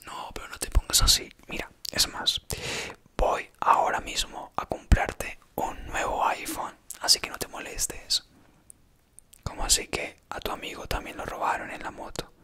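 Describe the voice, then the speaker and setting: A man's voice: normal speed, pushed and bright. A man whispering an ASMR roleplay as a doting, gullible boyfriend.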